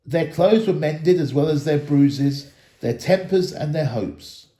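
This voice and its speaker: A man reading a children's story aloud in an expressive storytelling style. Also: a little high, unhurried, echoey room. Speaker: storybook reader, school staff member.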